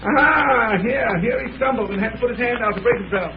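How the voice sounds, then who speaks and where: An actor playing a self-assured, sardonic master detective who muses aloud and lectures in 1930s radio drama style. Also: male voice, extremely high pitch, fairly quick. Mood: absorbed, musing. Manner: musing aloud, dryly sarcastic, didactic. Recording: muffled, noisy old radio recording.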